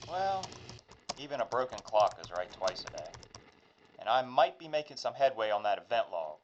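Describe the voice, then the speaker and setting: A man's voice: medium pitch, big pitch swings, normal speed, muffled recording, slight background noise, natural voice. A man playing a world-weary, folksy spaceship engineer in a science fiction audio drama, casual, grumbling and full of wisecracks. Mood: weary, wry.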